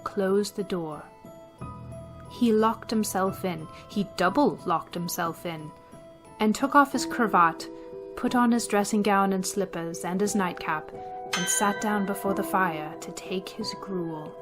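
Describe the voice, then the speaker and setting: A woman's voice: medium pitch, measured pace, a bit bright, faint music behind, natural voice. A woman giving scripted storybook narration for a radio drama.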